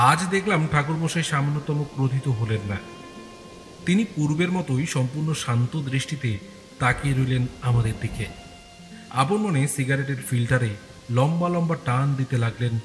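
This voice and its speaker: A man narrates an audio story in a medium-pitched voice. His delivery is scripted and dramatic, broken into deliberate, suspenseful phrases, with music clearly present underneath. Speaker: first-person narrator.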